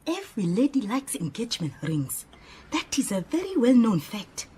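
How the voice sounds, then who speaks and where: Animated, opinionated woman assistant. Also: fairly high pitch, normal speed, husky, exaggerated voice. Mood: firm, opinionated. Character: earnest, opinionated female detective's assistant, proud and chatty. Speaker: actor in radio drama. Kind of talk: radio drama acting.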